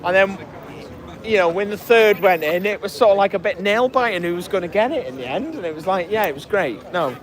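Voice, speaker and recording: A man hosting a casual post-match podcast, chatting and reading out listener comments. His voice is hoarse and cracking, and he talks in a rambling way, full of fillers and restarts. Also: high-pitched, lively intonation, a little fast. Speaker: podcast host.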